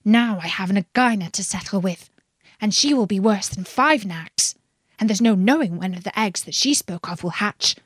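A woman voicing a brave, impatient young male mongoose in a storybook reading, speaking briskly and sharply. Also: mid-range voice, slightly rough, dry recording, studio quality, close to an everyday voice. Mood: determined.

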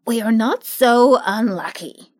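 A woman's voice: on the high side, takes it slowly. A woman voicing a cheerful male scarecrow who has become a ruler, speaking earnestly in a storybook reading. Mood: pleased.

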